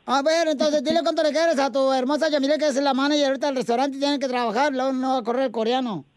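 High-voiced teasing radio host. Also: male voice, fairly even intonation, no room sound, clean studio sound. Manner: playful, teasing, quick jokes. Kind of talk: teasing comedy radio banter.

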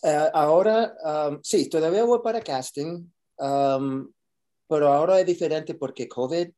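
A man's voice: fairly high pitch, a little slow, warm tone. A man, an actor, answering interview questions casually, mixing Spanish and English with many fillers and restarts.

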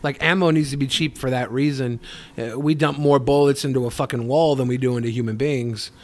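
A man's voice: mid-range voice, fairly even intonation, brisk. A man bantering casually on a podcast panel, crude and joking, swearing freely.

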